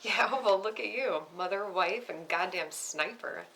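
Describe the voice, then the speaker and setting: A woman reads the part of a brash female friend who loves a thrill. Her voice crackles with fry and sits at a middling pitch. She banters casually and sassily, as in a film script read aloud, over faint noise. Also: unhurried, a bit bright. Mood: excited, sassy. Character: Penny, brash foul-mouthed thrill-drunk woman friend. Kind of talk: screenplay table read.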